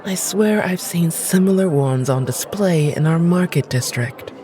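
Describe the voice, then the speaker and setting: A woman playing a haughty, cynical noblewoman in an audio drama, with an arch, aristocratic and deliberate manner. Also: on the low side, lively intonation, warm tone. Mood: wry, gossipy. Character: haughty, cynical, insistent noblewoman, lady of the house.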